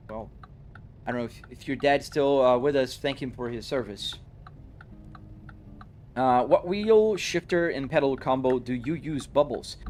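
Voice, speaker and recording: A man in his forties chatting casually on a live stream while driving, reading out and answering viewers' questions about his gear. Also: medium pitch. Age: 40s. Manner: casual, talks to chat, explains his setup.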